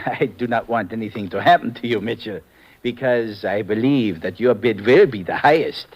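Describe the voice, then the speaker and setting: An actor playing a sly, greedy broker with a German accent in a 1950s radio drama, suave, smug and formal. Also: male voice, on the low side, moderate pace, highs cut off, slight background noise, close to an everyday voice. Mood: amused, oily.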